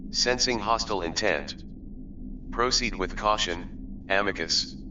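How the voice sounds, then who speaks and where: A watchful, formal machine intelligence from a sci-fi game, performed by a man. The low voice is cold and terse, sounding distant and dull amid noticeable noise. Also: measured pace, natural voice. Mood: cautioning.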